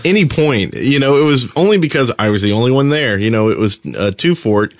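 A man's voice: mid-range voice, expressive, fairly quick, no room sound. A man co-hosting a podcast, telling casual anecdotes about gaming. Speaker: podcast co-host.

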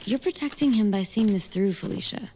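A woman's voice: medium pitch, animated, brisk, mellow, a little hiss or hum, lightly acted. An actress playing a determined, dry-witted female investigator in a naturalistic audio drama. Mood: insistent.

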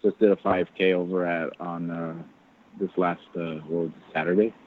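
A man's voice: low voice, flat delivery, moderate pace, slight background noise. A man chatting casually as a podcast guest, telling self-deprecating stories. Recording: tinny phone-like line.

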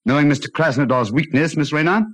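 An actor playing a clever, self-assured British detective in a 1940s radio drama, urbane and theatrical, with a hesitating drawl. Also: male voice, a little high, fast talker, a bit bright. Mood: explaining, assured.

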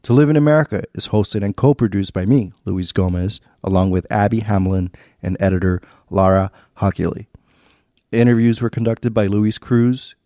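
Earnest male podcast host, low and dry. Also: mellow, no room sound. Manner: measured, earnest delivery. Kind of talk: scripted narration.

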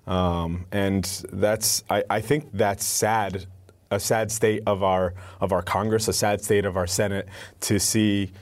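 Low-pitched male guest, earnest, answers with restarts. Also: a little mellow. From United States. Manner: earnest, repeats words when starting a thought. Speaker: advocacy spokesperson. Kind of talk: interview answers.

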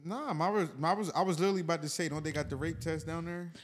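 A man's voice: on the high side, fairly even intonation, quick pace. A man co-hosting a podcast, bantering casually and laid-back. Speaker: podcast co-host. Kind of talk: casual chat.

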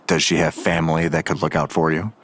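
A man's voice: extremely low pitch, level tone, fairly quick, fairly bright tone, husky, full character voice. An actor playing a blunt, world-weary father in an audio drama, dry and clipped in delivery. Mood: dry, resigned.

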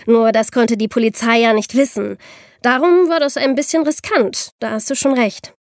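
A woman playing a young podcast host who explains crime cases to friends in a clear, patient, conversational way. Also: on the high side, moderate pace, no echo, studio quality, natural voice. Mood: explanatory, engaged.

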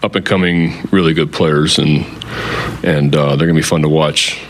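Low, flat-toned man at press conference. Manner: halting, understated, hesitant phrasing. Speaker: baseball team manager. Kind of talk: post-game press conference answers. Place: indoor post-game press conference.

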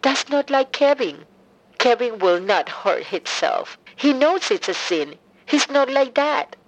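A woman's voice: on the high side, big pitch swings, takes it slowly, a little hiss or hum, rough recording. Blunt, grieving mother on the phone. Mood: shocked, disbelieving. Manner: terse, blunt, suspicious. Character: grieving, bitter mother of an adult son. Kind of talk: full-cast audio drama acting.

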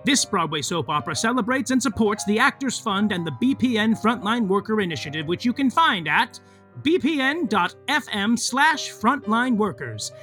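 Hammy soap-opera narrator: bright, wildly swooping delivery, tongue-in-cheek grandeur. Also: male voice, sits high, heavily acted. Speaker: narrator reading recap and credits. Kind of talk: theatrical scripted narration for an audio soap.

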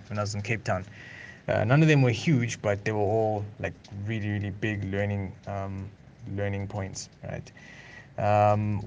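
Producer, thirties, lowish voice, unscripted and rambling, light background hiss. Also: male voice. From South Africa. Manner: casual, rambling, many fillers and restarts. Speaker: music producer. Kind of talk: unscripted voice note monologue.

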